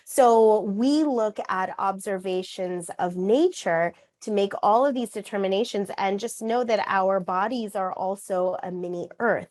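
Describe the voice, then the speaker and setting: Woman, middle-aged, native Korean speaker, earnest, explanatory, casual interview. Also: medium pitch. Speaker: author and acupuncturist.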